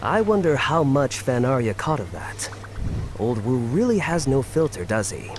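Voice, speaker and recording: An actor playing a blunt, curious young companion in a video game, casual and wry. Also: male voice, mid-range voice, big pitch swings, normal speed, background noise, lightly acted. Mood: teasing. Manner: casual, cheeky. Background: background music.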